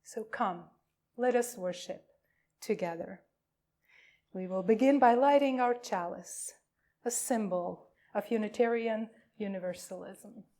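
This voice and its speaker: A woman pastor welcoming a congregation and reading liturgy, with deliberate phrasing and frequent pauses. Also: mid-range voice, mellow. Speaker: pastor leading a worship service.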